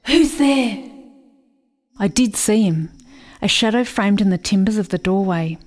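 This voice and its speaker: Slow, haunted settler girl. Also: medium pitch, lively intonation. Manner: slow, incantatory, repetitive. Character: haunted, dreamy settler girl mourning her lost brother. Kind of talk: literary radio play monologue.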